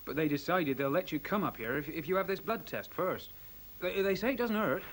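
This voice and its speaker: A man's voice: fairly high pitch, expressive, fairly quick. An actor playing an agitated, cynical young man in a radio play, stammering, slangy and argumentative. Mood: awkward, cheerful. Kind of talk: radio drama acting. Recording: hissy old radio play recording.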